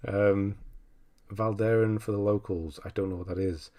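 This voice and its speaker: A man's voice: fairly low pitch, steady tone, slow pace, darkish tone. A man hosting a casual livestream, rambling and thinking aloud as he reads out messages and comments on lists. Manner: rambling, thinking aloud, often trailing into asides. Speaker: livestream host. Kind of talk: casual live chat, reading out viewer messages and commenting on game lists.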